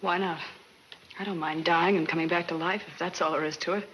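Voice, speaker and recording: A woman's voice: lowish voice, fairly even intonation, brisk, a bit bright, close to an everyday voice. An actress playing a plucky, loyal young woman held captive in a 1940s film serial. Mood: defiant. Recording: slightly noisy old film soundtrack.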